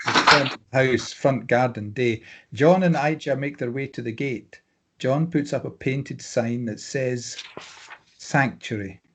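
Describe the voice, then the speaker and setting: A man reading screenplay stage directions as scripted narration, plain and measured, with clipped scene headings. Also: mid-range voice, a bit bright, slight background noise.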